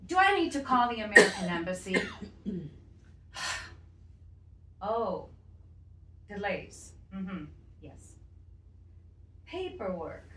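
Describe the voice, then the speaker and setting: A woman's voice: mid-range voice, pushed and bright, reverberant, far from the mic, exaggerated voice. A woman voicing a fussy, doting elderly grandmother who frets and repeats herself. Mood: indignant. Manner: anxious, exclaiming, repeats herself.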